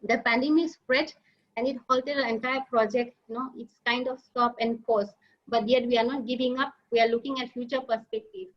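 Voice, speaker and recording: A woman giving a slide presentation as a nurse leader, halting often with fillers and restarts. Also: on the high side, fairly even intonation. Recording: dull lo-fi online audio.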